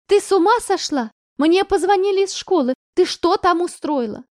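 A woman voicing an anxious middle-aged mother who scolds her daughter in a shrill, rising voice. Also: normal speed, mellow, no room sound, clean studio sound, natural voice. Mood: shouting.

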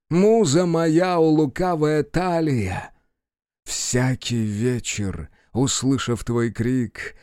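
A man voicing a theatrical actor-playwright, flattering and hot-tempered, who declaims grandly in a stage play reading. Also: mid-range voice, vocal fry, dry recording, studio quality. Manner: grandly declaiming, slight stammer at first.

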